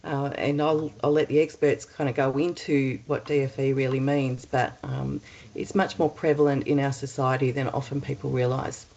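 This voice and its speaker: An Australian woman with a husky head-cold voice, chairing a webinar in a chatty way with many ums and uhs. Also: extremely low pitch, flat delivery, normal speed, darkish tone, lo-fi sound. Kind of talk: webinar opening remarks. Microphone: computer mic on a video call.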